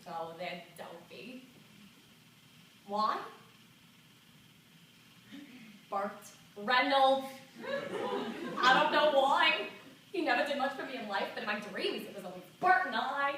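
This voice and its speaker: A woman's voice: sits high, lively intonation, pushed and bright, full character voice. Woman acting a reticent, exasperated elderly lady, echoey stage. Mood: dreamy. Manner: halting, dramatic, colloquial, self-interrupting. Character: reticent, exasperated seventy-two-year-old New York woman reminiscing. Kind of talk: theatrical stage monologue. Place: small indoor theatre stage. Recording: echoey theatre, far from the mic, faint noise.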